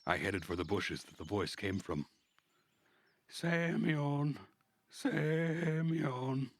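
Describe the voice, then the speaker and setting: A man reads visual novel narration aloud in a measured, introspective manner. His voice sits very low and has a husky grain. The sound is close and dry, with no room on it. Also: fairly even intonation, fairly bright tone, dry recording. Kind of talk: narration of a story read aloud.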